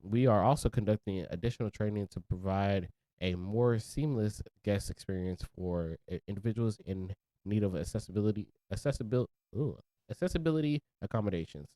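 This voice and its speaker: A man's voice: lowish voice, brisk, hardly any pauses, warm tone, no room sound, poor audio. A young man co-hosting a casual podcast, joking and teasing his co-host between news stories and laughing often. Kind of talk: casual banter and news discussion.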